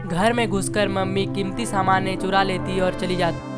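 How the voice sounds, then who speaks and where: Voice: medium pitch, flat delivery, normal speed. A narrator reading a scripted cartoon story. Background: background music.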